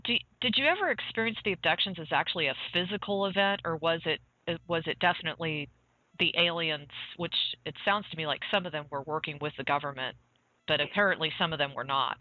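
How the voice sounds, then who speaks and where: A man's voice: a little high, fast, dry recording. A man from the southern United States chatting casually as an interviewer, with interjections and a conversational manner. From southern United States (Georgia, North Carolina). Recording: tinny phone-like call audio.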